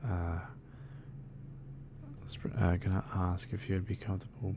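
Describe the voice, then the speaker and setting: Laid back aussie guy streaming, low gravelly voice, soft and soothing, lots of ums. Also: level tone, normal speed, muffled recording, heavy background noise. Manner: soft-spoken and soothing, rambling with many fillers. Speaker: game streamer. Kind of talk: relaxed casual chat while gaming.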